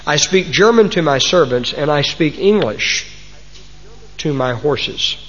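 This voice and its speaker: A man's voice: a little high, expressive, unhurried, a bit bright. A man with a Texas accent preaching a sermon to a congregation, folksy and homespun, fond of old sayings. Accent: Texan. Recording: muffled recording in a large reverberant hall.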